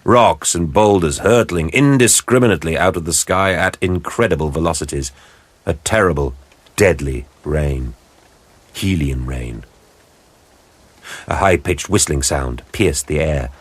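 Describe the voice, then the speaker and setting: A man giving grave, measured, dramatic scripted narration for an audio drama. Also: a bass voice, breathy edge, no echo.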